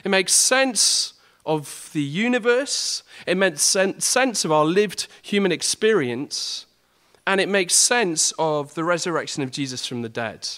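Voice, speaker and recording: Earnest young man, fairly high voice. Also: animated, unhurried, a bit bright. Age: young adult. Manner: earnest, builds with rhetorical questions. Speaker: student speaker. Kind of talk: prepared talk to a student audience.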